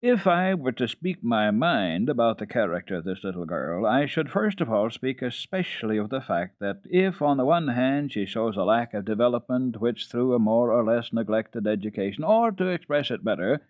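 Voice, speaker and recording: A man's voice: medium pitch, sing-song, moderate pace, hardly any pauses, no echo, clean studio sound, pitched above the performer's own voice, lightly acted. A man voicing a pedantic, deferential tutor who talks in a formal, long-winded way in a storybook reading. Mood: pedantic, hesitant. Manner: formal, circuitous, fussy. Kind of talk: dramatic children's storybook reading.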